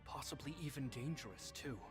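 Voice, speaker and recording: A man playing a kindly, modest stage magician in a game, musing earnestly and gently over his notes. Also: mid-range voice, brisk, close to an everyday voice. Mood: reflective. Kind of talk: scripted game voice acting, reflective monologue. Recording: long reverb, music behind. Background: game music.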